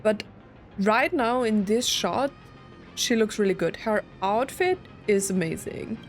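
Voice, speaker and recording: A young woman streamer chats casually through a game, thinking out loud. Her voice sits at a middle pitch, with faint music playing underneath. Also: animated, a little slow. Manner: chatty, thinking aloud, reads dialogue out. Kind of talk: casual let's play commentary.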